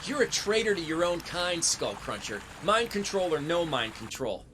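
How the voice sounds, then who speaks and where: An actor playing a plucky, defiant heroic robot in a video game, with a wry, quippy manner. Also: male voice, on the high side, sing-song, normal speed, background noise. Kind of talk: video game cutscene acting. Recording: loud music behind the voice.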